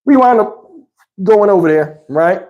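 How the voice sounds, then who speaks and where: A man's voice: high-pitched, animated, a little slow, a little mellow, low-quality recording. A middle-aged man telling a story casually as a vlog host, in a slangy, profane and emphatic way.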